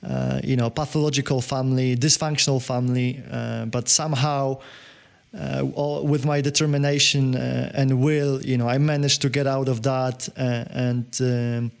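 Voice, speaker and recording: Halting man. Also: medium pitch, flat delivery, gravelly. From Poland. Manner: halting, many fillers and restarts. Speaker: podcast guest, business owner. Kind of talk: conversational interview answers.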